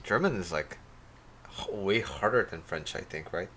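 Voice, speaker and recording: Man, low-ish voice, sore throat, casual livestream host, rambling, faint background hum. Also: expressive, moderate pace, a bit bright. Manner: rambling, hesitant, talks to viewers by name. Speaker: life coach hosting a livestream.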